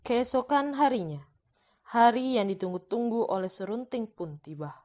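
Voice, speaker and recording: A woman's voice: fairly high pitch, animated, normal speed, dark tone. A woman narrator reading a scripted folktale for a cartoon in a storytelling style.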